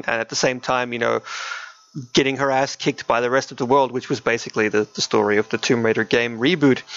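A man with a fry-edged voice talks casually as a podcast co-host. He is hesitant and often repeats words mid-thought. The recording is very dry and close, slightly dull and lo-fi. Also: mid-range voice, a bit bright, no room sound. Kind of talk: casual podcast discussion of games and films.

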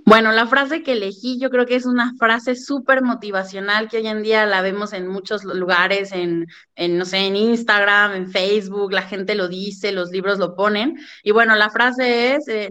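A woman, a podcast guest arguing her point with emphatic, lively storytelling. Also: medium pitch. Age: 30s. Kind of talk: conversational podcast talk. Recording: muffled lo-fi sound.

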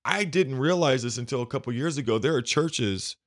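A man hosting a conversation with a deep bass voice, effusive and emotional as he talks. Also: expressive, fairly quick. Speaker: podcast host. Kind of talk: casual conversation.

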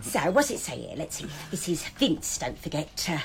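A working-class English charlady in a radio comedy. She is sly and chummy, teasing and coaxing with a wry, lively lilt, over faint background noise. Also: deep voice, moderate pace, vocal fry, heavily acted. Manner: wry, matey, cajoling. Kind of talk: British radio comedy acting.

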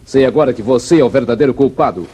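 Jerônimo, mellow commanding backlands hero. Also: male voice, mid-range voice, quick pace, husky. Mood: confident, commanding. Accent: Brazilian. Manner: composed, authoritative, formal. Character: calm, commanding male backlands western hero. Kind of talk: 1950s Brazilian radio serial acting. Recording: noisy muffled vintage radio recording.

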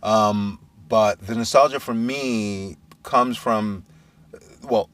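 A man's voice: lowish voice, takes it slowly, fairly bright tone, distant mic. A man from Brooklyn hosting a casual chat, enthusiastic and steering the talk with questions. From Brooklyn, United States. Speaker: podcast host.

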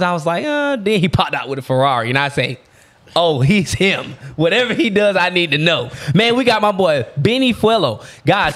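A man's voice: sits high, a bit bright. Hyped-up slangy podcast host, man. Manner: hyped, slangy, quick to laugh. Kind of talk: casual podcast chat.

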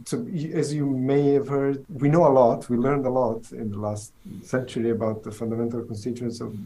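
A man's voice: mid-range voice, dark tone, slight background noise. A middle-aged man from southern Italy with an Italian accent, a theoretical physicist answering interview questions thoughtfully, with many restarts.

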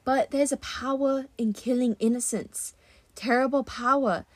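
A woman's voice: high-pitched, fairly even intonation, measured pace, no room sound. A woman voicing a solemn ancient huntress girl in an audiobook, with formal, portentous phrasing. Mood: grave.

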